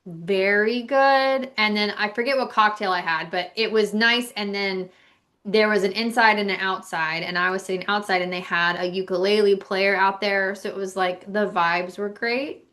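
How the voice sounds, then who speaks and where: Woman podcast cohost, really bright voice, chatty and informal, giving opinions on travel stuff. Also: mid-range voice, fairly even intonation, normal speed. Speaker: podcast co-host. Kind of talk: casual podcast chat about travel.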